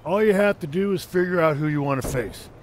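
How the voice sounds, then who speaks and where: A man's voice: fairly high pitch, a little fast, slight background noise, natural voice. An actor playing a middle-aged male wrestling coach who speaks in an encouraging, businesslike way.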